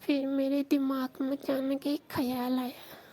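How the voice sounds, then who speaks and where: A woman telling an intimate confessional story in a hushed, breathy and halting way. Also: high voice, completely flat delivery. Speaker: storyteller in a staged call.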